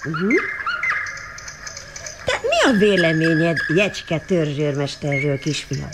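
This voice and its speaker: A woman's voice: low-pitched, sing-song. Radio play grandmother, old and canny, affectionate but blunt, with wildly swinging intonation; noisy vintage tape with birds chirping. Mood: probing. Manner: plain-spoken, probing, with rhetorical questions. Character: shrewd, loving old grandmother advising her grandson. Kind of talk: radio drama acting. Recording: noisy 1980 radio drama recording.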